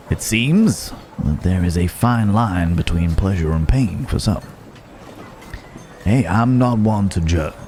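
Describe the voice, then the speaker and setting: A man's voice: low-pitched, darkish tone, a little hiss or hum. A man playing a roguish, genteel Wild West stranger in an ASMR roleplay, wry and musing, speaking straight to the listener. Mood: wry, teasing. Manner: unhurried, wry, musing, with drawn-out pauses.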